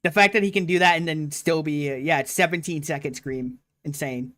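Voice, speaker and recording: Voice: mid-range voice, a little fast, a bit bright, no echo. A livestream host chatting casually with a guest, playful and teasing, laughing often. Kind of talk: casual stream chat with a guest.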